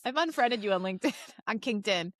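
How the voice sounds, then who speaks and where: A woman's voice: medium pitch, animated, a little fast, no room sound, clean studio sound. A giggly, exuberant woman co-hosting a podcast, tossing in joking remarks in casual comedic chat. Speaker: podcast co-host.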